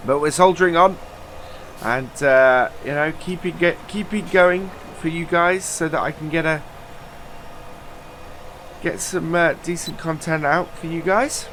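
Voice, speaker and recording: A man's voice: on the high side, a little slow, a bit bright, a little hiss or hum. A man with a nasal, stuffed-up voice from a cold, rambling through casual let's-play commentary with lots of fillers. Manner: chatty, rambling, many uh fillers. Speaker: gaming channel host.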